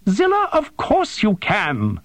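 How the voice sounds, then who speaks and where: Shrill, indignant fussy drone machine. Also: male voice, wildly swooping pitch, slow, no echo, heavily acted. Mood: yelping impatience. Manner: exasperated, sputtering, scolding. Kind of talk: audiobook character voice.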